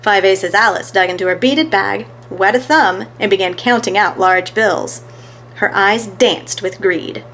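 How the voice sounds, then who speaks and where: A woman's voice: medium pitch, measured pace, a bit bright, slight background noise. A woman reading scripted narration of a children's story as a storyteller.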